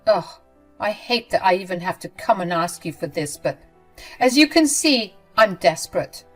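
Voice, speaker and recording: A spoiled, self-absorbed bride-to-be , as a woman narrator puts her on. She whines and brushes things off with little care. Soft music drifts under the reading. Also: medium pitch, lively intonation, normal speed, lightly acted. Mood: whiny. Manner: whiny, dismissive.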